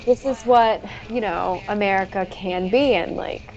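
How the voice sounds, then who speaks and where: Young woman chatting earnestly, lots of "like", average pitch, kinda dull muffled recording. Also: unhurried, darkish tone. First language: English. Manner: earnest, frequent "like" fillers. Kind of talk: casual conversation.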